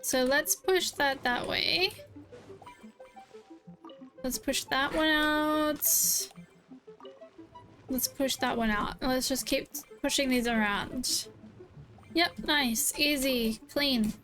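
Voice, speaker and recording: A woman streamer with a high voice chats casually as she plays. She takes her time, repeating short phrases and reacting expressively. Faint music plays underneath. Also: takes it slowly, pauses often, fairly bright tone. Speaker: game streamer. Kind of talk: casual live gameplay reaction commentary.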